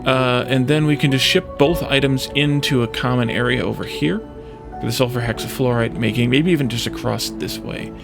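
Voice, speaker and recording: A man's voice: medium pitch, fairly even intonation, normal speed, creaky, music in the background. A man giving casual let's play commentary, thinking aloud in a hesitant, self-questioning way.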